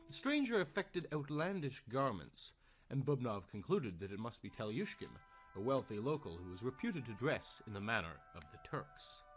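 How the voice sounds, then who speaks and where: Male storyteller, theatrical and formal, mid-pitched with extremely varied intonation, light music underneath. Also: big pitch swings, normal speed. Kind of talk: dramatic scripted story narration.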